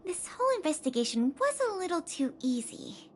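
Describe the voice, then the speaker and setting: A woman's voice: extremely high pitch, very animated, normal speed, a little mellow, slight background noise. A woman playing an eager, studious young investigator in a video game, speaking in an earnest, articulate way. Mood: earnest, measured. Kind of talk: video game character dialogue. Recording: music behind the voice. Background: game background music.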